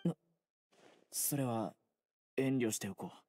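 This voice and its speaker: A man voicing a stern, serious young desert-born enforcer in an anime game, speaking formally and bluntly in a deadpan. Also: medium pitch, slow, a little hiss or hum, natural voice. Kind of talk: Japanese anime game voice acting. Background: music.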